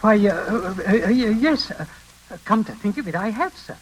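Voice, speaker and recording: An actor playing a deferential, anxious manservant in old-time radio suspense, formal and prone to flustered stammering. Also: male voice, high voice, expressive, normal speed, husky. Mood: flustered, evasive. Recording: hissy, crackling old radio broadcast.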